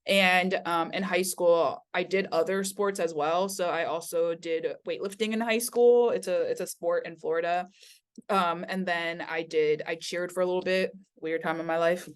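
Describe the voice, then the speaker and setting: Candid woman in her twenties, lowish voice. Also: steady tone, moderate pace, smooth. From Taiwan. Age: 20s. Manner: chatty, candid, laughing easily. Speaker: guest. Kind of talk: casual interview.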